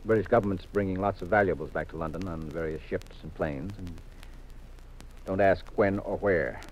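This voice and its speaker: Low-voiced American radio detective, played by a man. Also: moderate pace, slight background noise, natural voice. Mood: relaxed, reassuring. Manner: wry, assured, leading questions. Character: American husband detective, confident, teasing, affectionate. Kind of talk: 1950s radio mystery acting. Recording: muffled vintage radio recording.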